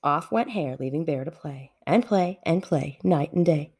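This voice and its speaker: Female storybook reader, expressive children's narration, slightly low-pitched with very lively intonation. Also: normal speed, husky.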